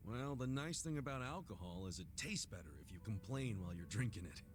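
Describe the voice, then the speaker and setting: Man playing animated, good-hearted video game brawler. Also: medium pitch, brisk, background noise, close to an everyday voice. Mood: friendly. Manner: frank, informal, expressive. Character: earnest, soft-hearted adult male street brawler. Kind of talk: English video game cutscene acting. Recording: music under the dialogue.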